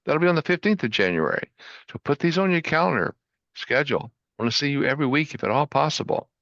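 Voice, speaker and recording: Gravelly bright-voiced guy hosting a video call show, dry slightly dull sound. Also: a little high, lively intonation, fast talker, no echo. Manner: upbeat, enthusiastic. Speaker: host. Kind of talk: online show introduction.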